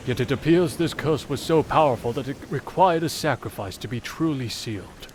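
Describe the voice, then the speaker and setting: A man voicing a game character who recounts an old legend in a measured, formal, theatrical way. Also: mid-range voice, darkish tone, slight background noise, lightly acted. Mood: grave, dramatic. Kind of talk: dramatic scripted visual novel storytelling. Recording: game audio with background music.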